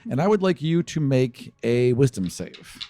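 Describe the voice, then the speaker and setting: A man's voice: mid-range voice, animated, normal speed, warm tone, no echo. A man running a tabletop game as game master, chatting casually and narrating the action.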